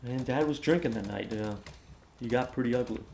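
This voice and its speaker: Man acting hesitant adult son, evasive, police drama, mid pitch, noisy typing behind. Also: fairly quick, vocal fry, darkish tone, natural voice. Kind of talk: naturalistic interrogation drama.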